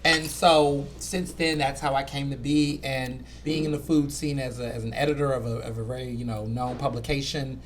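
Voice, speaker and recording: A man in his thirties from Houston with a light Southern accent, chatting as a guest about his work as a food editor, animated and full of "you know". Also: medium pitch, normal speed, few pauses, a little hiss or hum. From Houston, Texas. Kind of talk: casual conversation.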